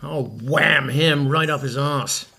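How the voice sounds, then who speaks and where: A man's voice: a little high, takes it slowly, creaky, pushed and bright, breathy edge, heavily acted. A man acting as a vain, hungover, washed-up rock star in an audio comedy, pompous, petulant and sarcastic. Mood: bitter scorn.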